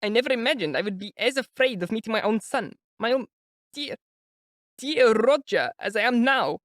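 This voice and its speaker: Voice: medium pitch, lively intonation, moderate pace, fairly bright tone, dry recording, clean studio sound, pitched above the performer's own voice, lightly acted. A reader doing a flustered, fretful older mother. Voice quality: breathless, exclamatory, flustered. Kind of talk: audiobook character voice.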